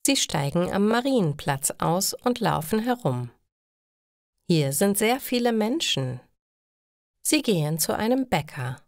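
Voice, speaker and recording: A woman reads a scripted story for language learners. She speaks carefully and slowly with lively intonation and a medium pitch. The recording is dry and close. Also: dry recording. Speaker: narrator of language-learning stories.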